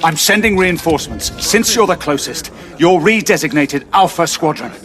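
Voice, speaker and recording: An actor playing a brusque military commander who gives clipped orders in an audio drama. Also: male voice, fairly high pitch, lively intonation, moderate pace, fairly bright tone, soft background music. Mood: commanding. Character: hard-pressed military commander, brusque and stern. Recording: battle and vehicle noise behind.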